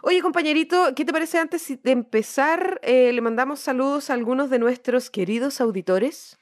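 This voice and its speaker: A woman's voice: sits high, moderate pace. A woman with a Chilean accent co-hosting a podcast in a casual, colloquial, slangy chat. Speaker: podcast co-host.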